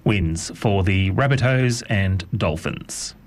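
A man reading a radio news bulletin in a measured, formal way. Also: low voice, music in the background. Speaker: newsreader.

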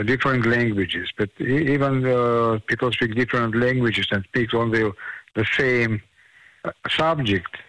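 A middle-aged conductor from Armenia, a non-native speaker, talking slowly with many fillers and restarts in a radio interview. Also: male voice, medium pitch, a bit bright, dry recording, poor audio. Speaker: conductor and pianist.

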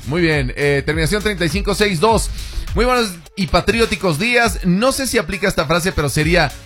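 Mexican guy doing morning radio, high voice, playful banter, bit of music under him. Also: expressive, fairly quick, fairly bright tone. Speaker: radio show host. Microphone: studio radio microphone.

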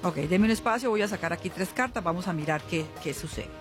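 A woman's voice: on the low side, animated, fast. A woman hosting a radio call-in as a spiritual healer, speaking to callers in a familiar, consoling way. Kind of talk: live call-in psychic consultation and promotion. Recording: music bed behind voice.

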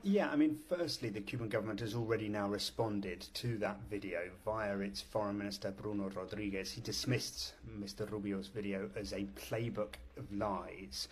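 A male foreign correspondent with a fairly low voice, reporting live down the line from a city. He talks in a measured, thoughtful way and often restarts a phrase before finishing it. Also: a little hiss or hum. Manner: measured, frequent restarts and fillers. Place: on location in a city.

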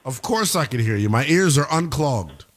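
A man's voice: mid-range voice, normal speed. A man hosting a sports talk radio show who teases his callers playfully. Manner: playful, teasing, animated.

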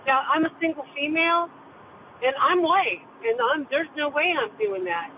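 A woman's voice: high-pitched, normal speed. A woman calling into a radio show, speaking emphatically and insistently. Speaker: caller. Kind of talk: phone-in commentary. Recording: tinny cutting-out phone line with faint noise.

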